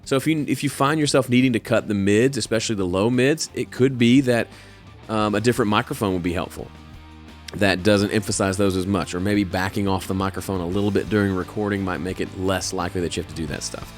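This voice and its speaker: A man's voice: on the low side, fast talker, faint music behind. A man with a slightly nasal voice, hosting a show and casually answering listener questions from his home studio. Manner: relaxed, conversational, with fillers and restarts. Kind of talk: casual advice talk.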